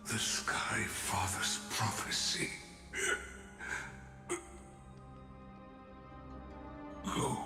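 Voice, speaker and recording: A grave, heroic craftsman from a fantasy game. He speaks very slowly, in broken bursts of inverted phrasing, and his pitch swings dramatically. His voice rings with heavy echo over music. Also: heavily acted. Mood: solemn, urgent. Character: heroic old craftsman, grave, self-sacrificing defender with inverted speech. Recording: echoey, music underneath.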